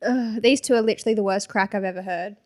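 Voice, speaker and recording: A woman's voice: medium pitch, fast, darkish tone. A young woman with an Australian accent co-hosting a podcast, reading comments aloud with sarcastic asides and frequent laughter. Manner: giggly, sarcastic, laughs often. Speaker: podcast co-host.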